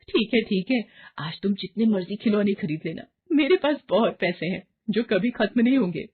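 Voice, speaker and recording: A woman voicing a greedy, boastful young housewife in a moral tale, excitable and insistent, in a muffled recording. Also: medium pitch, normal speed, slightly rough. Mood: excited, boastful.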